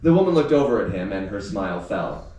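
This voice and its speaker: Guy author reading his story out loud at an event, animated, echoey room with faint noise. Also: on the low side, fairly quick, distant mic. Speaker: teacher. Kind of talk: informal live event talk and story read aloud. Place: indoor venue with a small live audience.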